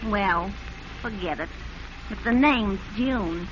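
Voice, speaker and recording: An actress playing a brisk, unimpressed box-office clerk with tart patter in 1940s radio style. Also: female voice, medium pitch, natural voice. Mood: softening. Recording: muffled, faintly noisy vintage radio recording.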